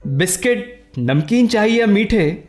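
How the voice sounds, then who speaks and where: A man's voice: high voice, expressive, measured pace, a little mellow. An actor playing a vain, teasing, lovesick young man in a radio play, flamboyant and self-satisfied, dropping in English phrases. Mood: breezy, teasing. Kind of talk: Hindi radio play acting.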